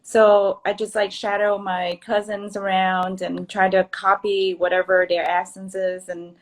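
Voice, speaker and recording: A woman's voice: medium pitch, flat delivery, measured pace. A woman with a Vietnamese accent, whose first language is Vietnamese, chatting earnestly in a casual podcast conversation.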